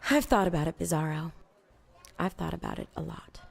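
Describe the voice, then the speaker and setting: An actress playing a flirtatious, mysterious and menacing femme fatale in a noir audio drama, teasing in clipped, coy phrases. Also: female voice, lowish voice, fairly quick, creaky. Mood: darkly probing.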